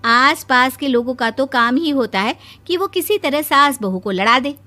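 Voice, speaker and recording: A woman voicing a dramatic young cartoon bride who is obsessed with washing clothes and speaks in a shrill, excitable way. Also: normal speed, faint music behind. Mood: coaxing. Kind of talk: Hindi cartoon voice acting.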